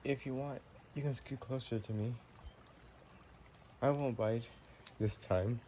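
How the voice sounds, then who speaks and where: A man playing an affectionate, playful vampire boyfriend in an intimate roleplay audio, chatting in a gentle, teasing way. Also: medium pitch, takes it slowly, a little hiss or hum, natural voice. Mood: tender, caring.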